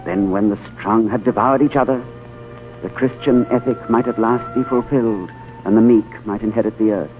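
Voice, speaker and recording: An actor playing a male diplomat who narrates his strange journey in a 1930s radio drama, earnest and expressive. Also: lowish voice, normal speed, lightly acted. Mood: narrating. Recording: tinny old radio broadcast with music.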